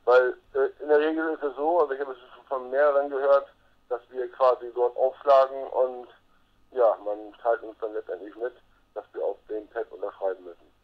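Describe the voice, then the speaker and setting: A man's voice: mid-range voice, steady tone, fairly quick. A male caller phoning into a live show and hesitantly asking for advice. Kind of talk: phone-in question. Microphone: telephone. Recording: tinny phone line.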